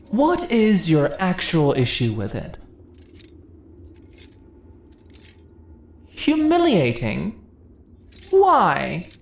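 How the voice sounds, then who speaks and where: A woman's voice: fairly low pitch, gravelly, darkish tone, exaggerated voice. A woman playing a sly, commanding immortal dungeon mistress in a fantasy ASMR roleplay, teasing and condescending in a theatrically persuasive way. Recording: echoey room with faint noise.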